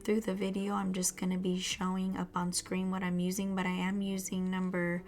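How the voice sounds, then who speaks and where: A woman hosting her own nail channel who is losing her voice, speaking in a hushed, breathy near-whisper. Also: fairly low pitch, monotone, moderate pace. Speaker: nail art channel host. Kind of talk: casual vlog aside.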